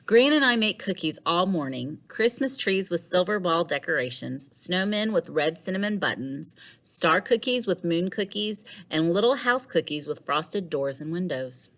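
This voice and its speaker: A woman teacher reading a children's storybook aloud in a measured, animated way. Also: fairly low pitch. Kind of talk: expressive storybook read-aloud.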